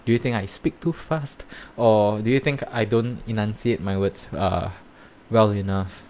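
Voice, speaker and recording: A man with a Singaporean accent giving rambling, halting commentary while live-streaming reviews of projects. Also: lowish voice, a little mellow, a little hiss or hum. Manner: rambling, halting, many fillers and restarts.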